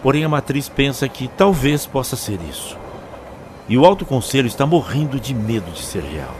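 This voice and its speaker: A man's voice: medium pitch, big pitch swings, normal speed, close to an everyday voice. A man with a Brazilian accent playing a learned alien Time Lord who explains ancient lore like a storyteller in an audio drama. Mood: explanatory. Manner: expository, storyteller-like. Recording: faint background noise.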